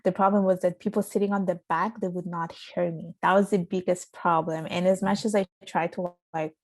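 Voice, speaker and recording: A woman with a gentle, not very loud voice that sits a little low for a woman. She speaks as a podcast guest in a relaxed interview, explaining things thoughtfully with a few fillers along the way. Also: brisk. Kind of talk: casual interview chat.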